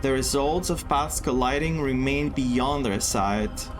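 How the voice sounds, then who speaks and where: A man playing through a game story and thinking aloud, excited and chatty, in a room indoors. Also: mid-range voice, a little slow. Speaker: gaming streamer. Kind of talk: let's-play commentary. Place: indoor room with air conditioning. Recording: voice over game music.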